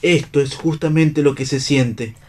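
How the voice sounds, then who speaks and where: An actor playing an earnest idealistic hero in a radio play, solemn and declamatory. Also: male voice, fairly high pitch, fairly even intonation, unhurried, darkish tone, close to an everyday voice. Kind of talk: theatrical radio play reading.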